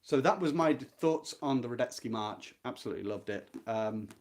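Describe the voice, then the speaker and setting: A man's voice: mid-range voice, lively intonation, brisk, a little mellow. A man hosting a book club, talking informally and live about literature in a reflective, earnest and emphatic way. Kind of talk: informal live talk about a classic novel.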